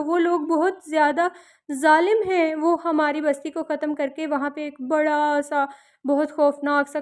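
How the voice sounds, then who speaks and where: A woman's voice: high-pitched, a little mellow, close to an everyday voice. A woman voicing a frightened little fairy who anxiously pleads for help in a children's story.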